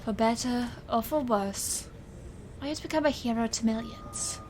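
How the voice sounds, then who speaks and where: A woman's voice: a little high, measured pace, a little mellow, a little hiss or hum, natural voice. A woman playing a proud, intense stage illusionist who narrates her own magical story in a theatrical, earnest audio drama style. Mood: reflective, earnest. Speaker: narrator and lead character. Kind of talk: scripted first-person audio drama narration.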